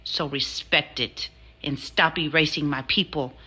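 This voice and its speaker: A woman's voice: sits low, steady tone, a little slow, pushed and bright, slightly rough. A woman making an impassioned spoken statement in a firm, emphatic, indignant way. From Southwest United States or Mexico.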